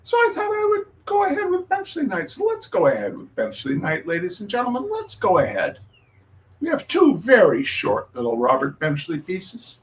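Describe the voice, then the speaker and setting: A man hosting a reading night, telling personal stories informally in a wry, deliberate way with pauses and repeated phrases. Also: high-pitched, huge pitch swings. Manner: deliberate, halting, with dramatic pauses and repetition. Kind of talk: informal spoken introduction with personal storytelling.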